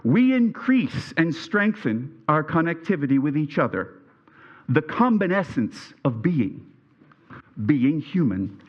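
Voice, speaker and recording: A man's voice: a little high, very animated, takes it slowly, lo-fi sound. A middle-aged man from Pennsylvania with a Pennsylvania Dutch accent, giving a prepared speech at a reunion and stumbling over his words a little. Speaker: alumni speaker. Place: reunion event hall.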